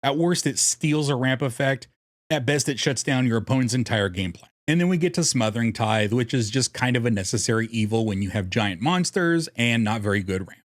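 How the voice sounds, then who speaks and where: Male guide host, highly animated intonation, jokey and irreverent, close dry voice-over. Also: fairly quick, no room sound. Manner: jokey, irreverent, swears casually. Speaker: host of a card game deck guide. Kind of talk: scripted narration for a strategy guide.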